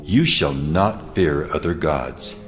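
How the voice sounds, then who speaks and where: A man playing God as a solemn, commanding ancient deity, speaking slowly and weightily in a dramatized scripture reading. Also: very deep voice, lively intonation, soft background music, exaggerated voice. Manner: slow, weighty, solemn pronouncement.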